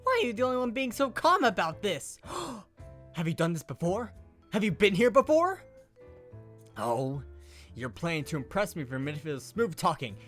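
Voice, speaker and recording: Male actor as a flustered teenage boy, squawky and excitable, fan radio-drama dub. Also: high-pitched, normal speed, soft background music. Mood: exasperated. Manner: loud, exasperated outbursts. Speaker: Watanuki.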